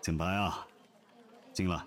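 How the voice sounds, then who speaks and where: A man's voice: deep voice, fairly even intonation, unhurried, a bit bright. A man acting an officious general manager with a bossy, managerial way of talking in a modern audio drama. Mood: officious, conciliatory. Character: officious male general manager, bossy yet easily placated.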